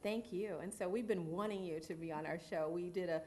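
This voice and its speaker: A woman's voice: fairly low pitch, brisk, a little mellow, a little hiss or hum. A woman hosting a talk show from a TV studio, reading formal guest introductions and asking questions with encouraging murmurs.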